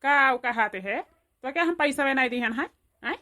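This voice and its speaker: Woman acting as a cartoon village man, Awadhi accent, high-pitched, sharp bright tone, hectoring, threatening, repetitive. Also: normal speed. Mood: indignant. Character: angry, threatening village man betrayed by his lover.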